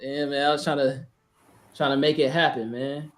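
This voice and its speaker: A man's voice: mid-range voice, flat delivery, normal speed, a crowd in the background. A man hosting a casual podcast over a video call, talking in a laid-back, slangy way and often asking "you feel me". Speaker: podcast host.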